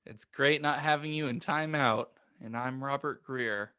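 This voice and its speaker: A man's voice: medium pitch, measured pace, sharp tone, smooth. A man chatting casually as a co-host on a podcast. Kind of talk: casual chat.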